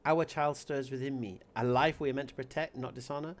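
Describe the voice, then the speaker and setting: A man's voice: mid-range voice, steady tone, fairly quick, lightly acted. Man reading as solemn, protective pregnant wife. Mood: solemn, hurt. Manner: earnest, formal, poetic speech.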